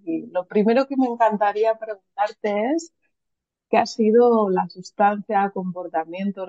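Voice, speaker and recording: A woman's voice: fairly low pitch, mellow, dry recording. A woman hosting a podcast interview over a video call, chatty and enthusiastic. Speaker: podcast host. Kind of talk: casual interview. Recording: dull, lo-fi, dry sound.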